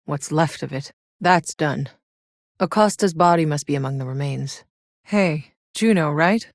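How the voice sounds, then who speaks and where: Low-voiced, tough female sci-fi soldier. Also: a little slow, no echo, studio quality. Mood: businesslike. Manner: gruff, sardonic, casual swagger. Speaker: video game voice actor. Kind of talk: video game voice lines.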